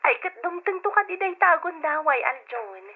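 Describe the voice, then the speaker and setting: High-voiced, affectionate young wife. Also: female voice, animated, normal speed. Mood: reassuring. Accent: Filipino. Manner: fond, gently chiding. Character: affectionate, practical young wife and expectant mother. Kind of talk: old-style radio drama acting. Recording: dull, muffled old radio drama recording.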